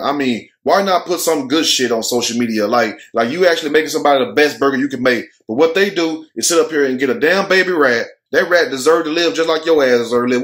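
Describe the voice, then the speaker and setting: A man's voice: a little high, fairly bright tone. An American man in his thirties giving casual, opinionated commentary as a show host, blunt, slangy and joking, with plenty of swearing. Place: furnished room at home.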